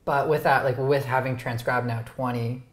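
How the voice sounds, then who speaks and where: A woman's voice: very deep voice, fairly even intonation, normal speed, echoey room. A woman whose first language was Romanian, hosting a casual dinner conversation at home, thoughtful and self-deprecating. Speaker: host. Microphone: lapel mic.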